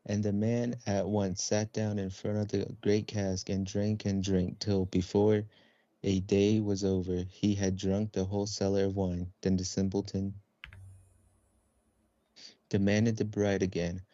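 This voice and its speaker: A man reads a children's storybook aloud in a low, flat voice. The recording is muffled and dry, with little high end. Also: a little slow, no room sound. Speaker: storybook reader. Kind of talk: scripted fairy tale read-aloud.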